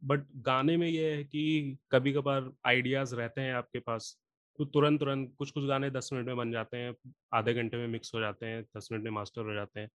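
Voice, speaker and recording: A man's voice: medium pitch, level tone, normal speed, a bit bright, no echo. Man with a sore throat, dry sound. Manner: explains step by step, patient. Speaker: singer and rapper. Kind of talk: casual podcast chat.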